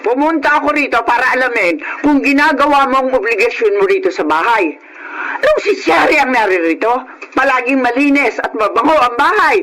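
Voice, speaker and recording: An actress playing a sharp-tongued older mother-in-law who scolds with exaggerated delivery in a radio drama. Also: high voice, lively intonation, a little fast, low-quality recording. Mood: scolding, disgusted. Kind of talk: Filipino radio drama acting.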